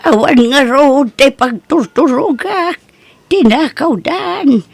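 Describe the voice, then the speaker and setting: A woman's voice: high-pitched, slow, gravelly, no echo, full character voice. A woman playing a frail elderly mother who pleads for a place to sleep, in a radio drama. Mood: pleading.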